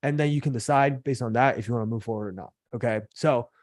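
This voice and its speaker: Slightly hoarse man answering viewer questions. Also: mid-range voice, fast talker, darkish tone, dry recording, clean studio sound. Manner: filler-heavy, lots of "like" and "right?". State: a little sick, losing his voice. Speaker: host of a live Q&A for finance career coaching.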